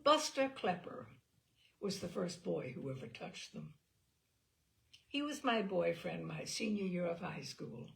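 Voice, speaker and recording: A woman giving an expressive, wry dramatic reading of a comic personal essay, with deliberate pauses and emphasis. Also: on the low side, creaky. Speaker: reader of humorous essays.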